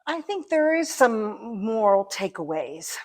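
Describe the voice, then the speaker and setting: Slow, thoughtful woman answering interview questions. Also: a little high, big pitch swings, a little mellow. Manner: thoughtful, halting, pieces phrases together. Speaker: interview guest, amateur stage actor.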